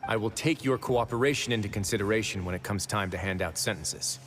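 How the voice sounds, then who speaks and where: An actor voicing a stern, calculating prison overseer in a video game, speaking in a deliberate, formal and authoritative way. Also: male voice, fairly low pitch, a bit bright, slight background noise, close to an everyday voice. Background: game music.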